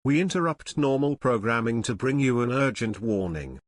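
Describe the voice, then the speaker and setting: A man reading a scripted emergency announcement as a broadcast announcer in a formal, measured manner. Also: mid-range voice, lively intonation, no room sound, studio quality.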